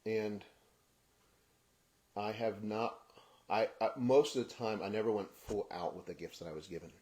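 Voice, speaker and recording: Halting, reflective man with a low voice. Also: fairly even intonation. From Kenya. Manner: halting, restarts phrases, soft trailing endings. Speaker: podcast host. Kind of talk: personal reflective monologue.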